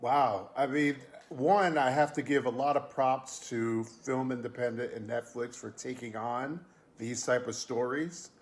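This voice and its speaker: A man's voice: mid-range voice, fairly even intonation, measured pace. A man hosting an online interview, speaking enthusiastically and praising the guest's work. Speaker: interviewer host.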